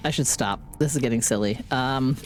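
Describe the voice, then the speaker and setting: A woman live-streaming a game with casual, playful commentary, chattering to herself, her voice deepened by being sick. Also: fairly quick, faint music behind.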